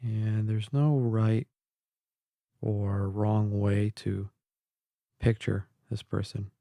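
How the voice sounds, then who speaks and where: A man guiding a meditation in a calm, gentle, measured way, leaving long pauses between phrases. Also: lowish voice, vocal fry, no room sound, studio quality. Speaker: guided meditation instructor. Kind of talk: slow guided meditation narration. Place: close, dry voice-only recording.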